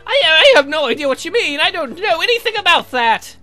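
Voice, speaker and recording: Flustered old man acted by a male gamer: defensive, halting, extremely high and lively, light music underneath. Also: full character voice. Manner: halting, stammering.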